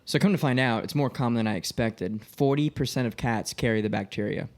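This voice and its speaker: Casual, expressive man in his late twenties. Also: medium pitch, a little fast. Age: late 20s. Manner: animated retelling with quoted dialogue, frequent swearing. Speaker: podcast co-host. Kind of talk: casual chat, telling personal anecdotes.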